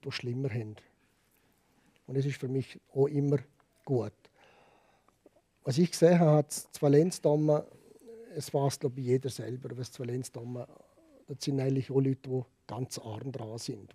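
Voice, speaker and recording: A man with a Liechtenstein accent telling his personal story candidly as a podcast guest, emphatic and repeating phrases, in a gravelly, husky voice. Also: medium pitch, mellow, no room sound.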